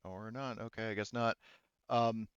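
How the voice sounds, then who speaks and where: Low, smooth-voiced man running a tabletop game. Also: fairly even intonation, no room sound, dull audio. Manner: halting, thinking aloud while checking rules and setup. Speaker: game master.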